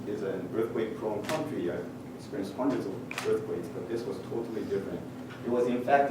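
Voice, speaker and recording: A middle-aged Japanese man speaking English with a Japanese accent, giving a measured technical conference talk with frequent "uh" pauses and a touch of dry humor. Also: fairly low pitch. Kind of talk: presentation talk with slides. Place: large conference hall. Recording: echoey hall picked up from far off with noise.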